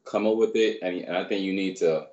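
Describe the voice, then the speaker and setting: A man in his thirties from Barbados, raised in New York, telling his story as a guest in a relaxed, rambling way on a video call. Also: deep voice, a little fast, highs cut off. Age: 30s. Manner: relaxed, rambling, many fillers. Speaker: guest, personal trainer. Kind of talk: casual storytelling interview. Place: small room indoors. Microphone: video call webcam.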